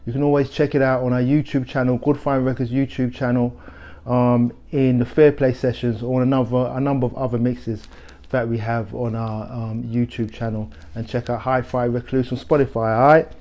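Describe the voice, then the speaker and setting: A man's voice: mid-range voice, little pitch movement, moderate pace, mellow. A middle-aged man hosting a record shop's video series, talking casually about favourite albums with frequent restarts and fillers. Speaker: record shop host. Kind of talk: casual music recommendations to camera.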